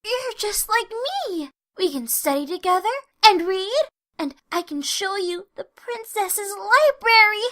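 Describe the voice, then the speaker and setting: Very high, sweet little filly. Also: female voice, expressive, measured pace, few pauses, fairly bright tone, full character voice. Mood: tender delight. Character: sweet, bookish little girl pony, delighted with her toy. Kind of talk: dramatic fan fiction reading, cartoon pony character.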